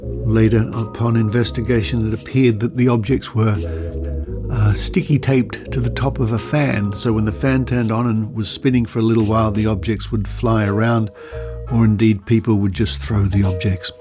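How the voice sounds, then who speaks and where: A man's voice: fairly low pitch, level tone, normal speed, over music. An Australian man hosting a podcast, reading aloud with asides and theatrical emphasis. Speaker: podcast host.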